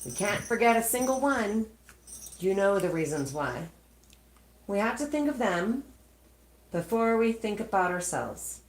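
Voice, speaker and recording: A kindly old Father Christmas from a storybook, as a woman reads him aloud. He speaks slowly and tenderly, halting between phrases, with lively swings in pitch and a roomy echo. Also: a little hiss or hum. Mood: earnest, gentle. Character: kindly old Santa Claus, gently explaining and hesitant.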